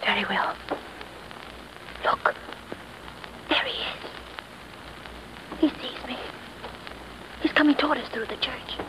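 A woman's voice: sits high, slow, pauses often. Apprehensive lady companion, noisy old radio. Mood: frightened. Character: anxious young lady companion to a sleuth. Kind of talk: 1930s radio acting. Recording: noisy vintage 1930s radio recording.

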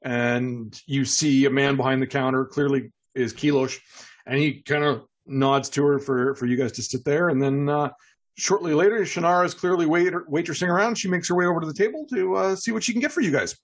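A game master with a husky voice, improvising narration for a tabletop game in a casual way. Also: medium pitch, fairly quick.

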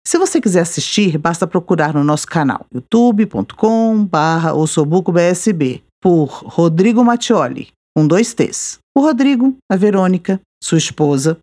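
A Brazilian woman hosting a podcast, reading a measured, reflective scripted narration. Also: medium pitch, dark tone. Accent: Brazilian Portuguese. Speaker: podcast host.

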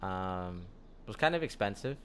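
A young man with a fairly low voice, talking in an easygoing, rambling way and leaning on lots of fillers. He sounds like a podcast guest in casual conversation. A faint hiss sits behind him. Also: normal speed. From United States. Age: young adult. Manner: relaxed, rambling storyteller with frequent fillers.

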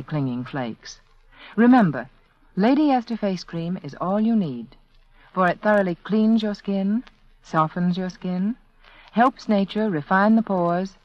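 A woman's voice: lowish voice, no echo. A woman reading a 1940s radio advertisement as spokeswoman, persuasive and carefully phrased. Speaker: commercial spokeswoman. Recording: muffled 1940s radio recording.

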